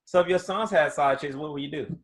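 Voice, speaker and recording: A man's voice: fairly high pitch, brisk. A man co-hosting a podcast, teasing and joking in casual chat. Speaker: podcast co-host.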